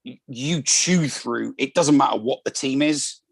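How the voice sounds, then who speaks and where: A man with a gravelly, husky voice and a slightly low pitch chats casually as a panelist. He hesitates often and restarts his sentences. The sound is dry and close. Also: unhurried, no room sound. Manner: hesitant, many fillers and restarts. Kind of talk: casual panel chat on a live stream.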